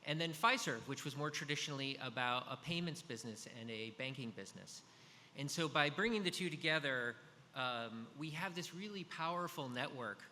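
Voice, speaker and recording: A man in his fifties with a loud voice, giving a casual, filler-heavy business talk at a conference through a microphone. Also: medium pitch. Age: 50s. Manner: loud, chatty, many fillers and restarts. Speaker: conference speaker. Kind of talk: business presentation. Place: large conference hall. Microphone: handheld or stand microphone. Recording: hall reverb with faint noise.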